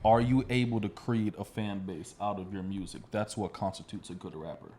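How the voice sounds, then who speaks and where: A man's voice: fairly low pitch, fairly even intonation, moderate pace, mellow. A man hosting a podcast in casual conversation, speaking in an informal, slangy way. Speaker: podcast host. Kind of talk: casual chat.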